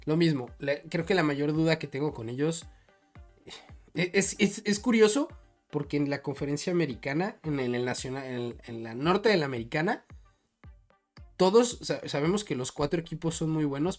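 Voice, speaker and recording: A man's voice: a little high, lively intonation, normal speed, soft background music. A man co-hosting a sports podcast, discussing football casually and circling back over his words as he reasons.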